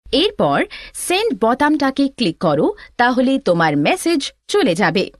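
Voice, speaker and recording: High, lively woman tutorial instructor. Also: moderate pace, no echo, clean studio sound. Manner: step-by-step, explanatory. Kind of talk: scripted instructional narration.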